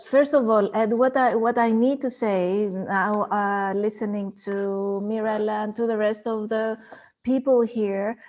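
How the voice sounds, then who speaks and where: Slow, thoughtful woman. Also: mid-range voice, darkish tone. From Greece. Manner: thoughtful, searching for words. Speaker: guest. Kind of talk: video call chat.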